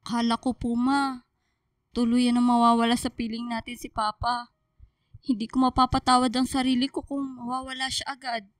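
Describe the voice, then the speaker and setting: An actress with a Filipino accent playing an anxious, loving young daughter in a radio drama, earnest and pleading. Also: female voice, a little high, little pitch movement, normal speed, no room sound, studio quality, natural voice. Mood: anxious, upset.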